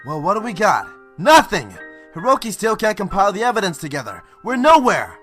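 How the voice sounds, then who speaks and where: An actor playing a hot-tempered, frustrated police detective in an amateur radio drama. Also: male voice, high-pitched, huge pitch swings, brisk, bright, forceful tone, soft background music, heavily acted. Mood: angry, frustrated. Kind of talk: amateur audio drama acting.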